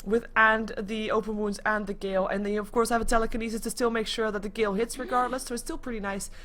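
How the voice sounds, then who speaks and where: A woman casting an esports match live in a casual, chatty, expressive way. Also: a little high, quick pace. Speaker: esports commentator.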